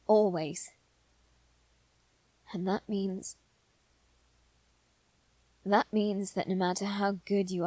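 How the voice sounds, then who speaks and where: A woman voicing a haughty but protective young nobleman boy in a scripted roleplay addressed to the listener, speaking hesitantly and gravely, often repeating the start of a phrase. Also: medium pitch, darkish tone, dry recording, rough recording. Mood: grave warning.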